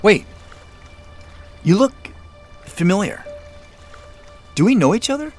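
Slow, hesitant everyman. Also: big pitch swings, darkish tone, soft background music, heavily acted. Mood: polite, guarded. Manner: halting, low-key. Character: hesitant, polite man out exploring, privately unsure. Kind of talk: naturalistic audio drama acting.

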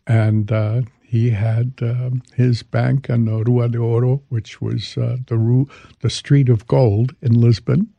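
A man in his eighties from Hungary, speaking haltingly as he reminisces as an interview guest. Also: medium pitch, little pitch movement, gravelly, dry recording, highs cut off. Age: 80s. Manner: halting, hesitant delivery. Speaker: interview guest, veteran commodities exchange trader.

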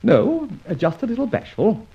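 Suave, husky, mocking gentleman rogue. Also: very high voice, wildly swooping pitch, measured pace, full character voice. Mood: mocking, confident. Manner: arch, urbane, taunting. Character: suave, mocking gentleman adventurer, self-assured masked rogue. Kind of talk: vintage radio adventure serial acting. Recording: muffled old radio recording.

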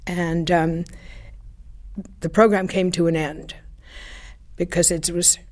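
A woman's voice: lowish voice, little pitch movement, slow, a little mellow. An older woman being interviewed, telling chatty anecdotes about her radio days with fillers and small laughs. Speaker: former radio broadcaster interviewee. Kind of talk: reminiscing interview.

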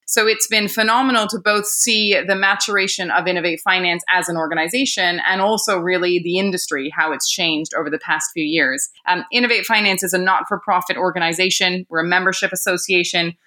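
American woman on a podcast, bright clear voice, a bit low, talks fluent with some ums. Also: fairly even intonation, fairly quick, hardly any pauses. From United States. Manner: articulate, fluent with fillers. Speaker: guest, executive. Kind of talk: podcast interview.

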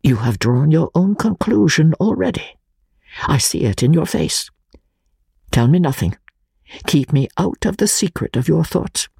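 An anguished, honour-bound gentleman from abroad, voiced by a man, pleading solemnly in a classic audiobook drama. The voice is very husky and breathy, often hushed, and swings expressively in pitch over a dry recording. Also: animated, a little slow, dry recording, exaggerated voice. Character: anguished foreign gentleman confessing secret brotherhood, honour-bound and fearful.

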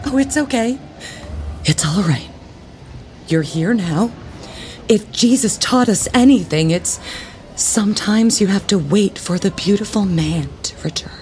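An actress playing a prim, bossy, judgmental church youth leader in a comedic audio drama, sweetly stern and passive-aggressive with dramatic pauses. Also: female voice, mid-range voice, animated, a little mellow, long reverb tail, a little hiss or hum, natural voice. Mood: forgiving, pointed.

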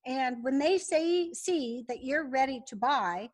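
A woman's voice: sits high, expressive, takes it slowly. A woman speaking as an interview guest, laying out business advice in a conversational, instructive and methodical way. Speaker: podcast guest, business founder.

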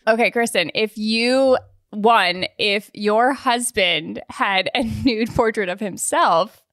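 A woman's voice: on the high side, takes it slowly, fairly bright tone, no room sound, studio quality. A woman hosting a podcast in casual, conversational chat, with an informal, anecdotal and teasing way of talking. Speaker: podcast host.

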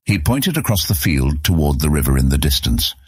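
A man's voice: very deep voice, sing-song, a little fast, husky, no room sound, studio quality. A man narrating a scripted fantasy story as an audiobook narrator.

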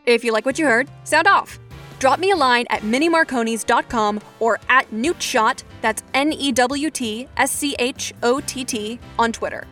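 A woman's voice: on the high side, moderate pace, a bit bright, faint music behind. A woman hosting an advice podcast, moving between scripted narration and interview talk. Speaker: podcast host.

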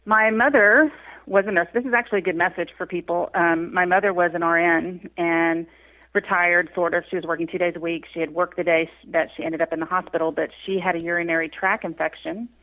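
A woman's voice: lowish voice, steady tone, a little fast, phone-quality sound. A woman from Texas speaking as a podcast guest, earnest and conversational. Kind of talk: interview conversation.

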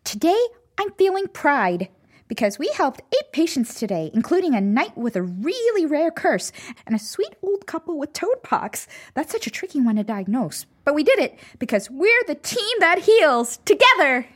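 A chirpy, earnest herbalist in a fantasy comedy audio drama. The voice sits very high and swings up and down freely. She gushes with bubbly eagerness. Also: sing-song, moderate pace. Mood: eager, delighted. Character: bubbly, eager young female herbalist and potion maker.

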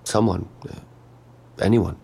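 A man playing a guarded, lonely app user in a naturalistic audio drama, speaking in a hesitant, subdued, gravelly voice. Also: low voice, fairly even intonation, a little slow, warm tone, heavily acted. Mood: guarded, thoughtful. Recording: noticeable background noise and ambience.

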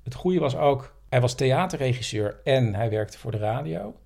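A man's voice: medium pitch, animated, moderate pace, vocal fry, a little mellow. A man co-hosting a podcast, telling stories and explaining things in a casual, conversational way. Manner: explanatory, anecdotal. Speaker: podcast co-host.

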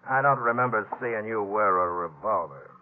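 An actor playing a bossy, menacing gang leader in a 1950s radio western, curt and scornful. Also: male voice, lowish voice, expressive, moderate pace, soft background music, natural voice. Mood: menacing, commanding. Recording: muffled old 1950s radio recording.

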